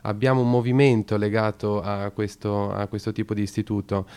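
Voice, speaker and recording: A man's voice: on the low side, level tone, brisk, darkish tone, smooth. A man co-hosting a radio talk show, explaining a topic at length with many hesitations and restarts. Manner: hesitant, lots of fillers and restarts. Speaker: radio co-host.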